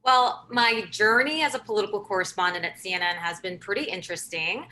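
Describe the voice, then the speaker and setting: A woman's voice: medium pitch, a little fast, slight background noise, poor audio. A woman in her thirties, born in Korea and raised in Hong Kong, speaking conversationally as a political correspondent on a panel. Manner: warm, conversational, with fillers. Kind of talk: panel discussion answers.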